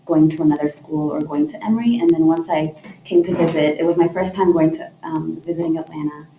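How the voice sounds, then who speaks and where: A woman's voice: deep voice, fairly even intonation, fairly quick, warm tone. A young woman from Ethiopia, a graduate student, introducing herself informally and a little hesitantly, restarting phrases. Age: young adult. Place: echoey room, away from the mic. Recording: distant, echoey, muffled, noisy room.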